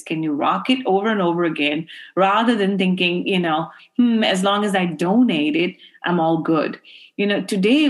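A middle-aged woman from Pakistan, a guest speaking earnestly and passionately in an interview, often saying "you know". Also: mid-range voice. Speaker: guest, fashion activist. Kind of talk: interview answers.

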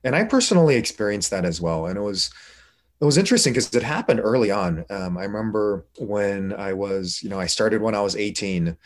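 A man's voice: fairly low pitch, expressive, brisk. A middle-aged man, an interview guest, telling his personal story in an informal, reflective, conversational way. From Korea, moved to the United States.

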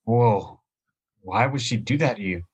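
A man's voice: fairly low pitch, a little slow, husky. A man playing an earnest young chorus dancer. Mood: grateful. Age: young adult. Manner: earnest, pleading. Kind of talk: audio play acting.

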